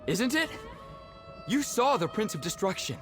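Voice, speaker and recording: Anxious young man with animated, swinging pitch. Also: normal speed, soft background music. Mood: despairing. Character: anxious young man burdened with dangerous magical powers. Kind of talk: dramatic fantasy game acting.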